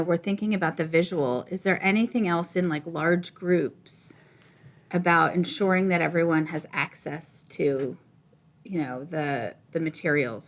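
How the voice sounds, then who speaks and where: A woman co-hosting a casual professional discussion, thoughtful and wondering aloud. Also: on the low side.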